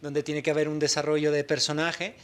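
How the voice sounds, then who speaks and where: Slightly high-voiced man. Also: flat delivery, fairly bright tone. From Mexico. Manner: thoughtful, measured, hesitant fillers. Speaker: film director, studio guest. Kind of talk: TV interview answers. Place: TV studio.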